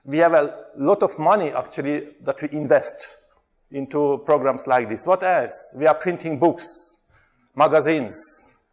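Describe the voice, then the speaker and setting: A man's voice: a little high, a little slow. Hungarian-accented man leading a workshop. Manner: chatty, frequent hesitations, asks the audience questions. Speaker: workshop presenter, theology lecturer. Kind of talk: interactive workshop talk.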